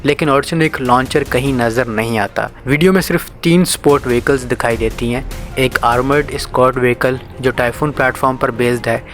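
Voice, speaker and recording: Male news narrator over music. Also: medium pitch. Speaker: narrator of a defence news channel. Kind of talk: scripted news-explainer narration. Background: background music.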